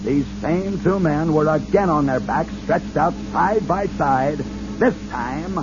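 Showman radio host, lively swooping delivery, music bed, muffled vintage sound. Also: fairly high pitch, takes it slowly. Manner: theatrical, emphatic showman. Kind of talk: dramatic radio narration.

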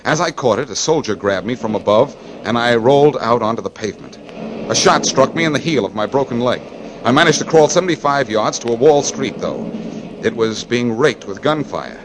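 A man playing a war correspondent who gravely recounts a battle in dramatic first-person radio narration. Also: medium pitch, animated, a little fast, background noise, close to an everyday voice. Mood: grave, tense.

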